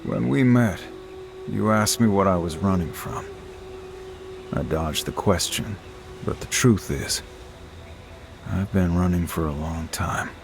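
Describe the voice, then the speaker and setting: A man's voice: sits low, takes it slowly, creaky, pauses often, background noise, heavily acted. An actor playing a guilt-ridden swordsman on the run in a video game, speaking in a terse, grave and weary way. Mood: regretful. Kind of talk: dramatic video game acting. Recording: game music behind the voice. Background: game music.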